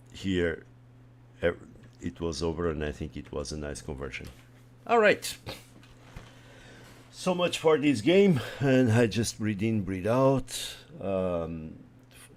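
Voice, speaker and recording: A deep, unhurried man born in Portugal, whose first language is Portuguese, talks through his chess game for a small stream audience. He thinks out loud in a relaxed, casual way. His remarks often trail off into softer asides. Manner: slow, thinking aloud, trails off into quiet asides. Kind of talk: casual live commentary over a chess game.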